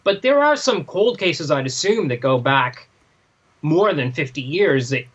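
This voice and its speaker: A man with a notably high voice co-hosts a casual talk podcast. He works through his thoughts aloud, questioning and restarting as he goes. The sound is a little dull and lo-fi. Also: expressive, measured pace, breathy edge. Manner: questioning, thinking aloud in broken phrases. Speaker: podcast co-host. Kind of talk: casual discussion of a graphic novel.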